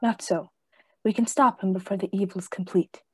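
A woman playing a grave, authoritative vampire-hunting professor in a radio play, formal and deliberate, with a husky voice. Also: mid-range voice, steady tone, dry recording. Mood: resolute. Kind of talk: theatrical radio play acting.

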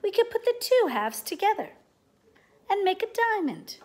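A woman's voice: very high-pitched, big pitch swings, moderate pace, voice pushed up. A woman voicing a clever, practical noble lady in a storybook, explaining things helpfully. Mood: thoughtful.

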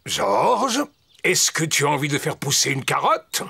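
A man voicing a kindly, patient elderly grandpa pig in a children's cartoon, with a rough, gravelly voice. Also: fairly high pitch, wildly swooping pitch, measured pace, exaggerated voice. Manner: gentle, patient. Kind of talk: children's cartoon acting in French dub.

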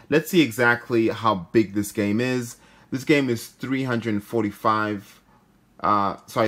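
A man's voice: on the low side, a bit bright. A man hosting a gaming video, giving casual commentary as he plays. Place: indoor room, filming a handheld phone.

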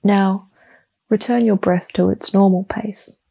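A woman leading a guided meditation, giving soft, calm, soothing instructions in short phrases with long, deliberate pauses. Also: mid-range voice, vocal fry, breathy edge.

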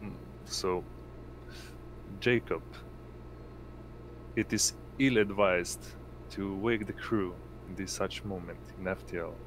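Slow, low-voiced male game master. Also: fairly even intonation, background noise. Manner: halting, searching for words. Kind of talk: narrating a tabletop roleplaying game.

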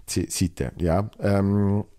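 A young man with a Basel Swiss German accent hosts his own solo podcast, his voice sitting deep and low. He wanders through his thoughts with plenty of fillers, false starts and repeated words. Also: extremely slow, warm tone. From Switzerland. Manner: rambling, many fillers and restarts. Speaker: podcast host. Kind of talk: solo podcast, reading listener mail.

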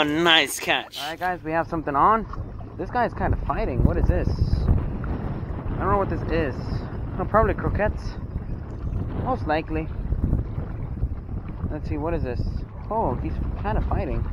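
A young man from Texas, with a slightly high voice, chatting upbeat commentary to his viewers while fishing from a rocky jetty. He exclaims over each catch as it comes in. The sound comes from a body-worn action camera, with loud wind blowing across the mic. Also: lively intonation, unhurried, long pauses. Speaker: fishing vlogger. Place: outdoors on a rocky jetty by open water. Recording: wind noise outdoors. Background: wind and water.

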